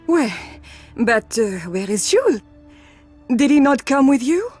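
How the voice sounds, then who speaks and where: A woman's voice: high-pitched, sing-song, a little slow, a little mellow, faint music behind. A woman playing an emotional old French grandmother in a video game, with a French accent and melodramatic delivery. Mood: anxious.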